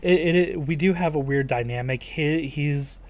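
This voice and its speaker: A man with a soothing, calm voice chatting casually with livestream viewers in a chill, low-energy, halting way. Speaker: live streamer.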